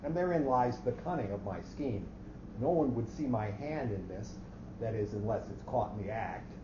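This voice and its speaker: A man's voice: on the low side, animated, moderate pace, natural voice. Man acting fussy clerk, formal, precise, coolly plotting, staged story reading, roomy sound, noticeable noise. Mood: indignant, precise. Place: echoey hall at a live reading. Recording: muffled, noisy, echoey hall recording.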